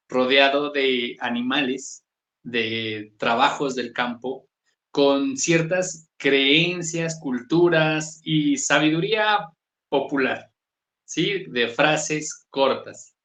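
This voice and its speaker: Man with a Pasto Colombian accent, echoey room. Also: a bit bright. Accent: Colombian, Pasto region. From southern Colombia, Nariño. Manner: thoughtful, varied pitch, asks "¿sí?" to check in. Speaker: author, guest. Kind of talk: reflective conversational interview.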